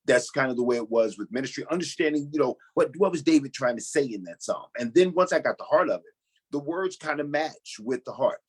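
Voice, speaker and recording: A man's voice: mid-range voice, expressive, a little fast, breathy edge. A middle-aged man, a pastor appearing as a guest, answering reflectively and leaning on "you know" and repeated words. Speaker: pastor and novice actor, guest.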